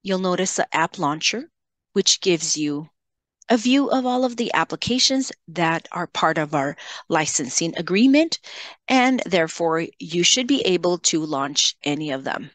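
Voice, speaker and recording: A woman software trainer giving an instructional screen-share tutorial, explaining in a measured way and addressing learners directly. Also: on the low side, lively intonation, no room sound.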